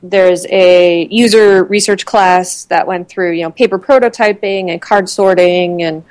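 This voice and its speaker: A woman's voice: lowish voice, fairly even intonation, measured pace, darkish tone, dry recording. A woman in her thirties from Connecticut, a guest chatting casually in an interview, with lots of fillers and restarts. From Connecticut, USA.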